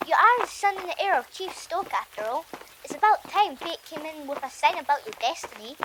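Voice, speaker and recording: Woman voicing an earnest, very high-pitched Viking boy. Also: animated, fairly quick, background noise. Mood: excited. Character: Fishlegs, earnest, anxious, loyal young Viking boy. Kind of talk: audiobook character acting.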